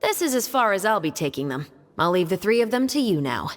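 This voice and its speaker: An actress playing a cool, guarded adult patrol captain who speaks tersely. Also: female voice, medium pitch, big pitch swings, brisk, lightly acted. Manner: terse, understated. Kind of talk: video game voice acting. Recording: game audio, reverb and music.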